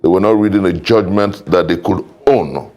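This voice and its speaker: A Nigerian man speaking as a panel guest, giving political commentary in a deliberate, rhetorical way with formal wording. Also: low voice, a little mellow.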